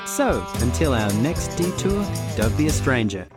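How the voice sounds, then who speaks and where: A man's voice: medium pitch, very animated, measured pace. A man co-hosting a podcast, reading a scripted sign-off and credits. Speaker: podcast host and magazine editor. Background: loud guitar music.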